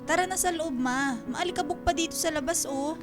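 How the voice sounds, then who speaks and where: High-voiced, caring Filipino daughter. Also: female voice, fairly quick, faint music behind. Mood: concerned, coaxing. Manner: coaxing, nagging, respectful to her mother. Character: caring, insistent adult eldest daughter Wendy. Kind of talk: Filipino radio drama acting.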